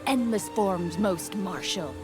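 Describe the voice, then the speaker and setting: A woman's voice: medium pitch, slow. A woman co-presenting game news, explaining from a script with playful banter. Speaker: co-presenter on a game update broadcast. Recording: loud music behind. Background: music.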